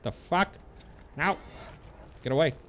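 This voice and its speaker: A man's voice: a little high, takes it slowly, a bit bright, slight background noise. A man doing casual, playful live gameplay commentary, talking to the characters in the game and swearing now and then. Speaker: game streamer.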